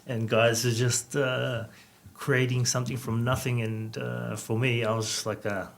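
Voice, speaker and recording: A man, a Samoan speaker, giving calm, measured interview answers with many hesitations. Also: medium pitch, gravelly. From New Zealand. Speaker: rugby coach, guest.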